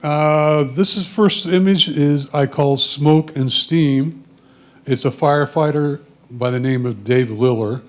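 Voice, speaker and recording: A man's voice: on the high side, slow pace. An American man gives matter-of-fact, semi-scripted commentary on his photographs, explaining them as he goes. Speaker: club photographer presenting own photos.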